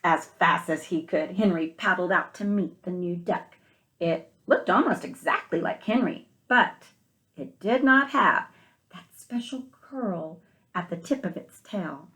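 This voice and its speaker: Slow-paced woman reading a storybook to children. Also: fairly low pitch. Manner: expressive, gentle questioning asides to children. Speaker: teacher reading aloud to young children. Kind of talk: storybook read-aloud with asides to listeners.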